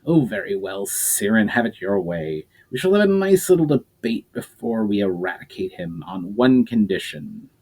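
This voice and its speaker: A haughty, aggressive mage elder with a sneering, mocking edge, as a man reading a story puts him on. He sits a little low and speaks with clipped scorn. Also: big pitch swings, normal speed, hardly any pauses, a little mellow, breathy edge, heavily acted, poor audio.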